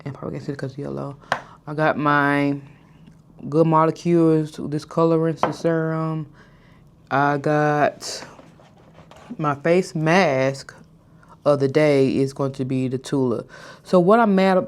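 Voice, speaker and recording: A woman in her thirties chatting casually to her followers in a skincare vlog. She stutters at times, mispronounces some words, and slows her speech down on purpose. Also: deep voice, fairly even intonation. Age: 30s. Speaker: vlogger. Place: hotel room, indoors.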